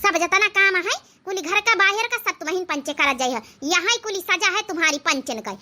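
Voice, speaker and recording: A woman's voice: extremely high pitch, expressive, fairly quick, sharp tone, full character voice. A woman voicing a bossy village mother-in-law who scolds and threatens, in Awadhi-accented comic cartoon dialogue. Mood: commanding. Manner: haranguing, threatening.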